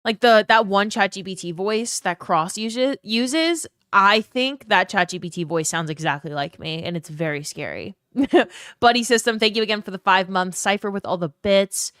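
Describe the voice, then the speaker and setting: A woman streamer, chatting casually and rambling, with a slight vocal fry at the ends of her phrases. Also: medium pitch, lively intonation, normal speed, a bit bright, no room sound, clean studio sound. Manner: chatty, rambling, laughs often, thanks viewers by name. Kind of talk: casual live-stream chat.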